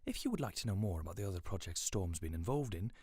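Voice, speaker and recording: Even-toned man reading interview questions. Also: low voice, fast, husky, dry recording, clean studio sound. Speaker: interviewer and host.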